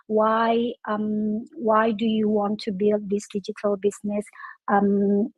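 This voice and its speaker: A woman's voice: mid-range voice, no echo, poor audio. A woman hosting a casual online interview, hesitant and full of "um" fillers. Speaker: interview host.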